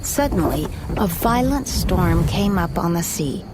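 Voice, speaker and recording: A woman giving expressive, measured scripted scripture narration for an audio drama. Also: lowish voice, heavy background noise.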